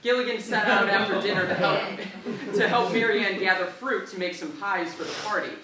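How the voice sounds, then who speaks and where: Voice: mid-range voice, fairly quick, distant mic, a loud crowd. An amused host introducing and narrating a comic staged reading in a casual way, prone to laughing. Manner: amused, often breaking into laughter. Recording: echoey, very noisy room, off mic.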